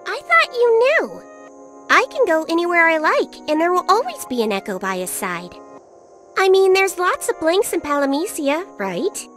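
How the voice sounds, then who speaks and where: Game fairy sidekick: very high, childlike, swooping pitch, cheeky, blunt, breezy teasing. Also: female voice, heavily acted. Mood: breezy, explaining. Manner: breezy, teasing, casual wording with sharp mood swings. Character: tiny cheeky female fairy companion, blunt and flippant. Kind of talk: video game cutscene voice acting. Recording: music behind the voice.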